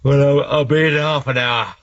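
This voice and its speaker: A man's voice: mid-range voice, steady tone, moderate pace, fairly bright tone, close to an everyday voice. A man with an English accent acting in a 1970s radio drama as a grumbling, flippant detective inspector. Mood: groggy, grumpy. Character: grumpy, rough-living English detective inspector. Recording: dull, muffled old radio recording.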